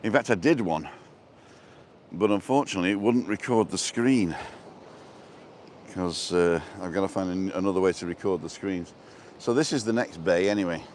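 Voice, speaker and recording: Low voiced british guy rambling on a walking livestream, jokey and chatty, some outdoor noise behind him. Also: moderate pace, a little mellow. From born in England, lived in Wales and abroad. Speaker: travel vlogger and livestream host. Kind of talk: casual live walking-tour chat, answering viewer comments. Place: outdoors, walking along a seaside promenade. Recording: noisy outdoor street and seafront ambience.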